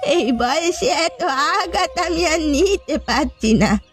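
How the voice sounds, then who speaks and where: A woman playing a frail, grief-stricken old grandmother in a cartoon drama, crying as she speaks in slow, wailing phrases. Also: sits high, lively intonation. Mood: grieving.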